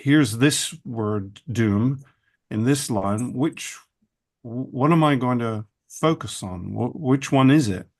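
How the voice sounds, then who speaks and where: Slow, halting man on a panel. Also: mid-range voice, a little mellow. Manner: slow, halting, many fillers and restarts. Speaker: author panelist. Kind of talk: academic roundtable discussion.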